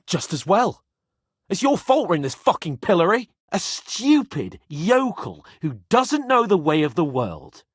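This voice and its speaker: A man's voice: high-pitched, fairly bright tone, no echo, clean studio sound, exaggerated voice. An actor playing a haughty, hot-tempered young medieval nobleman in a video game, with a petulant, exclamatory manner. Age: young adult.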